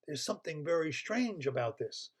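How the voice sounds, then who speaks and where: A man's voice: fairly high pitch, very animated, moderate pace. A fretful woman on the ski slopes in a told story, talking in anxious, insistent bursts, as a storyteller puts her on. The storyteller keeps close to his own voice. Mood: uneasy. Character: worried woman skier, uneasy and insistent.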